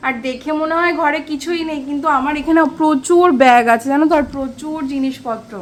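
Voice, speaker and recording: A woman's voice: sits high, fast talker. A woman with a West Bengali accent telling everyday stories casually to viewers in a chatty home vlog. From West Bengal, India. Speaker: vlogger. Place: small one-room home. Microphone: handheld camera at arm's length. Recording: faint background noise.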